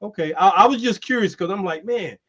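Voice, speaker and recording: Guy hosting a stream, slightly high voice, keeps saying man, kinda muffled sound. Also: brisk, sharp tone. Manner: loose and chatty, says "man" a lot. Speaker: host. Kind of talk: casual chat and merchandise pitch. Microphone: streaming setup.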